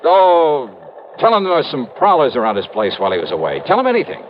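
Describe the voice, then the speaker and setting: A man's voice: fairly high pitch, wildly swooping pitch, quick pace, a little hiss or hum. An American man playing a confident, hard-boiled insurance investigator in a vintage radio drama, wry, clipped and casual. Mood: insistent, persuasive. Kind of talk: 1950s-60s radio detective drama, first-person narration. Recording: dull vintage radio recording, music behind.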